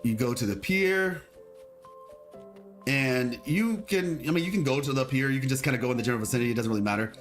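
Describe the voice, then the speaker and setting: A man with a deep voice chatting casually as a lore video host, rambling and restarting his sentences. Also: fast, faint music behind. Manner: rambling, hesitant, full of restarts. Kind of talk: casual chat.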